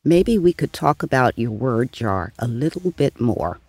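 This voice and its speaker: A calm old grandmother from a children's storybook, acted by a man. The voice is husky and slow, with lilting, gentle kindness. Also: mid-range voice, no echo, exaggerated voice. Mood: warm. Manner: gentle, unhurried, soothing. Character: kindly, calm elderly grandmother. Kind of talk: children's storybook read aloud.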